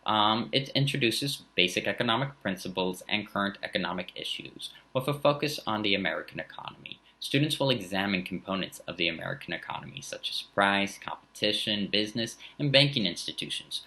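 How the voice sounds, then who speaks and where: A man in his thirties, a high school teacher from the Bay Area with a slight German accent, giving an earnest recorded welcome full of fillers and restarts. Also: animated, a little fast, few pauses, sharp tone. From San Francisco Bay Area. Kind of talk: recorded back-to-school talk to parents.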